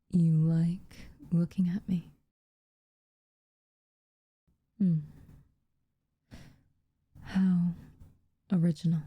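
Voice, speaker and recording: A woman playing an aloof, teasing but secretly tender witch in a soft, intimate ASMR roleplay, with a breathy, half-whispered delivery and dramatic pauses. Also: on the low side, no room sound, exaggerated voice. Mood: wry, tender.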